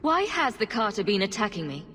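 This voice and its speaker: An actress playing a composed, resolute adventurer heroine in a video game, measured and determined. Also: female voice, mid-range voice, sing-song, a bit bright, a little hiss or hum. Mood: questioning, firm. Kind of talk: dramatic video game acting.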